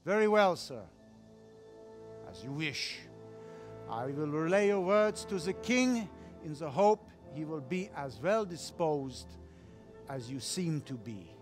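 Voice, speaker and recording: An actor playing a haughty, menacing medieval knight envoy in a game cutscene, formal and imperious. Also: male voice, a little high, very animated, slow pace, long pauses, soft background music. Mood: coolly threatening. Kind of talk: video game cutscene acting.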